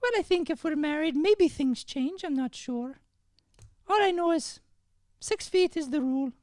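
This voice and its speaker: High-voiced, coy Irish girl, played by a woman. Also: lively intonation, moderate pace, a little mellow, no echo, clean studio sound. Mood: coy, confident. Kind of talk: improvised old-time radio comedy play.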